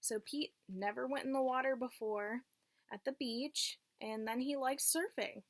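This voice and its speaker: A woman's voice: high voice, measured pace. A woman reading a picture book aloud to young children, teacher-like, in simple phrases and checking in with questions.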